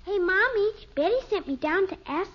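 A woman's voice: very high-pitched, expressive, moderate pace. A female performer playing a perky, pouty little girl, the youngest daughter in a 1950s radio sitcom, whiny and childlike. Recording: dull, noisy 1950s radio recording.

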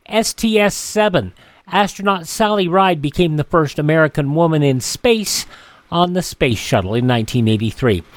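Man hosting radio, higher-pitched and close. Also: dry recording. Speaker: radio show host. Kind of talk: scripted on-air narration of history facts and show introductions.

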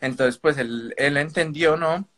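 A man's voice: a little high, a little slow, fairly bright tone. Colombian man explaining things in casual conversation. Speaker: caller on a live stream. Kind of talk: casual spoken account.

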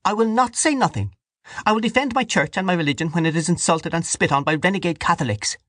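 A man voicing a devout, hot-tempered woman in an audiobook novel, loud, righteous and indignant. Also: high voice, animated, fast talker, no room sound, clean studio sound, pitched above the performer's own voice. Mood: hot, defiant.

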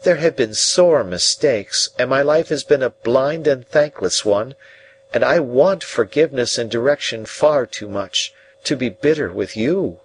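A man voicing an earnest, remorseful young gentleman who speaks gently and with measured pleading. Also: mid-range voice, expressive, a little hiss or hum, pitched above the performer's own voice, natural voice, low-quality recording.

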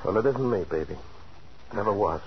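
An actor playing a cool, mercenary lover in a 1940s radio thriller, with blunt, slangy delivery. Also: male voice, fairly low pitch, lively intonation, moderate pace. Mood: cool, dismissive. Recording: muffled 1940s radio recording, faint noise.

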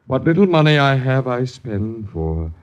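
Formal, precise lodger, wordy and poor but proud, dull vintage radio sound. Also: male voice, mid-range voice, big pitch swings, unhurried. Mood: dryly indignant. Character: polite, wordy, impoverished male lodger. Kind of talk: 1950s radio acting. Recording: band-limited old radio recording.

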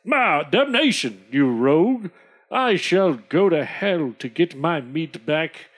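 A man's voice: fairly high pitch, very animated, measured pace, husky, heavily acted. A man voicing a pompous, blustering English country squire who bellows and harumphs. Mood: outraged. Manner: bellowing, huffy, full of harumphs.

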